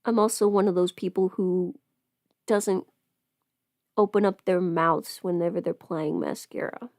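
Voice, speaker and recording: A woman's voice: fairly low pitch, fairly even intonation, a little slow, darkish tone, no room sound, studio quality. A woman chatting casually on a livestream while busy with her makeup, rambling and trailing off as if half talking to herself. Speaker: livestream host.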